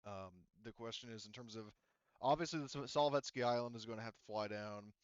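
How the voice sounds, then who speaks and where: A man's voice: mid-range voice, quick pace, clear, resonant tone, dry recording, dull audio. A man acting as game master, explaining the setting in a rambling, casual way with many fillers. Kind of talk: casual tabletop game narration.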